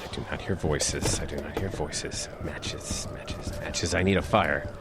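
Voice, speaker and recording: A man's voice: very deep voice, steady tone, a little slow. A man playing a freezing, anxious groom-to-be in a horror audio drama, fretfully talking to himself, in a noisy recording.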